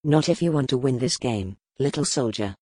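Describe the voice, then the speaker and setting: A woman voicing a grim, powerful male duke, speaking low, measured and brooding in an audiobook. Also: expressive, mellow, dry recording. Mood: tender. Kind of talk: audiobook character voice.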